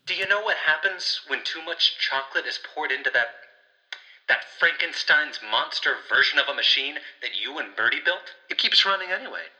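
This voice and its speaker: Fed up nagging coworker guy in an audio drama, super sharp tense voice, very up and down, sarcastic scolding. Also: fairly high pitch, expressive, brisk, low-quality recording. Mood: exasperated scolding. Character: exasperated, nagging, responsible male watchtower colleague.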